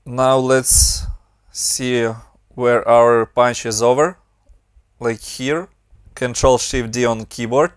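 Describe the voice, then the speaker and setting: A man's voice: mid-range voice, no echo. Ukrainian-accented man, dry close voice. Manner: brisk, step-by-step instructions. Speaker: motion design tutorial host. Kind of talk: software tutorial narration.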